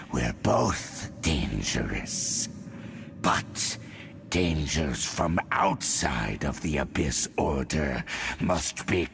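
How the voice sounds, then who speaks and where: A man voicing a menacing inhuman herald from a fantasy game, gravelly and grandiose in theatrical, archaic taunts. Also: a bit bright, exaggerated voice. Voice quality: gravelly, rumbling. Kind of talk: fantasy video game voice acting.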